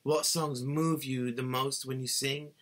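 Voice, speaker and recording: A man's voice: medium pitch, fairly even intonation, unhurried, fairly bright tone. A man in his thirties giving an earnest, instructive singing lesson, talking to a webcam in a small cluttered bedroom. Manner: earnest, instructive, gestures with both hands. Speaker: singing tutor. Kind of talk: scripted how-to talk to camera.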